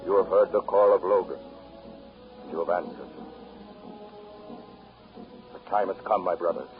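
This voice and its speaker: Grave, deep-voiced proud chief. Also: male voice, a little slow. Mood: solemn. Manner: solemn, declamatory. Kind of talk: solemn 1930s radio drama oratory. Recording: muffled 1930s radio recording with music.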